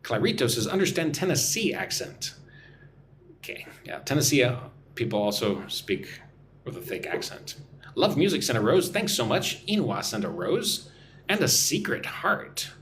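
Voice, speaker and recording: A young man from Texas runs a live-stream English class in a chatty, joking tone. His pitch moves around a lot as he reads viewers' comments and answers them. A faint hum of room ambience sits under his voice. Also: big pitch swings, moderate pace, fairly bright tone. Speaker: English teacher and livestream host. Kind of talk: live-stream English lesson, reading chat. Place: home room in Vietnam.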